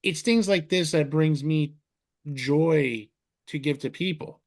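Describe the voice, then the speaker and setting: Brief: male podcast host, a little high-pitched, chatty and rambling, on a video call. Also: slow pace. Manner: rambling, restarts sentences, heaps on compliments. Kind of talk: casual interview chat.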